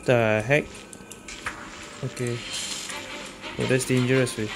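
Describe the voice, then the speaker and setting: A woman with a very low voice comments casually on a video game she is playing. She thinks aloud and reacts in short exclamations, with prominent game music behind her. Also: little pitch movement, takes it slowly. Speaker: gaming streamer.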